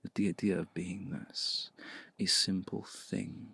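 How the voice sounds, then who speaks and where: A man playing a seductive, commanding male vampire in an intimate ASMR roleplay, speaking slowly and deliberately in a hushed, breathy voice close to the mic. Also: level tone, no room sound, full character voice. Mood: seductive, coaxing. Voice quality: low, gravelly, breathy. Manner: slow, deliberate, hushed, often breathy near-whisper. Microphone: close mic, dry.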